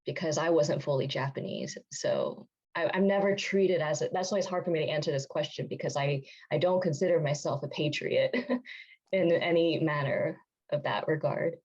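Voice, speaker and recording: Very low-voiced woman, muffled sound. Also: expressive, darkish tone, slightly rough. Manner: earnest, pausing and restarting. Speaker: guest poet. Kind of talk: reflective panel conversation.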